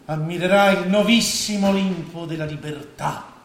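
A man's voice: high voice, normal speed. An actor playing a scheming middle-aged stepfather and financier, sarcastic and grandiose, in a theatrical oratorical delivery. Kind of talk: staged radio drama acting in Italian. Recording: echoey stage recording with faint noise.

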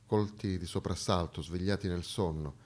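A man performing a dramatic theatrical monologue, narrating historical events in a deliberate, declamatory way. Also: low-pitched, fairly even intonation, a little mellow. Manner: declamatory, deliberate, storytelling delivery. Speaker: stage actor and narrator.

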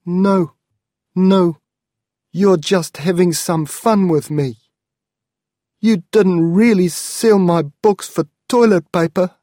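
A man's voice: sits high, takes it slowly, pauses often, dark tone, no echo, studio quality, voice pushed up. A man voicing a grown man in a storybook reading, stunned and disbelieving.

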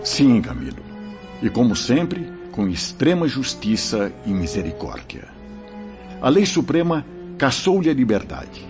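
An actor playing a wise, solemn spiritual mentor who teaches formally in a radio drama. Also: male voice, mid-range voice, huge pitch swings, unhurried. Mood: grave explaining. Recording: dull lo-fi audio, music behind. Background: music.

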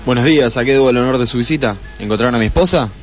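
A man playing a polite, nervous gentleman husband in a comic radio play, formal, evasive and stammering. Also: medium pitch, very fast, faint music behind, lightly acted.

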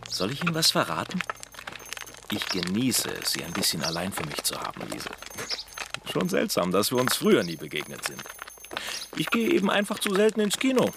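Infatuated male suitor, lowish voice, wildly animated intonation, flirtatious chatter, radio drama, noisy background. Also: a little fast. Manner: chatty, flirtatious, theatrical swoops. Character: big flirtatious man, eager, infatuated suitor. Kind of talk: German radio crime drama dialogue.

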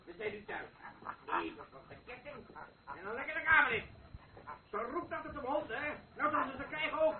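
An actor playing a feverish, menacing man with a creaky voice in a Dutch radio drama. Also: very high voice, animated, fairly quick, pauses often, crowd noise. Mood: coaxing, menacing. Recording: muffled, distant old radio recording, background commotion.

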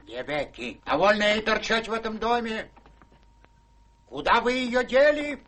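An actor playing a blustering, impatient old baronet, brusque and demanding, in radio-drama style. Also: male voice, high voice, expressive, measured pace, fairly bright tone. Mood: angry, demanding. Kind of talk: stage-trained radio drama acting.

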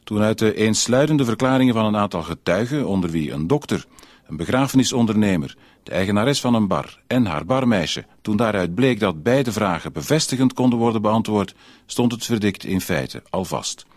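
Radio-drama news reader. Low pitch, dry acoustic, sober, factual, formally scripted delivery. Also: male voice, lively intonation, normal speed, no echo. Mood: matter-of-fact. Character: formal, matter-of-fact male news reader reporting a trial.

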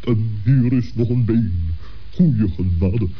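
A man's voice: on the low side, takes it slowly, breathy edge. A man playing a pompous, well-meaning owl in a children's radio play, with wordy, muddled phrasing. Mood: pompous, concerned. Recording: dull 1960s radio play recording.

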